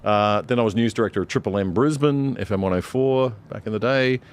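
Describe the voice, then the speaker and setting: A man's voice: lowish voice, a little fast, smooth. A middle-aged Australian man, a broadcaster on a discussion panel, talking emphatically with many fillers and restarts.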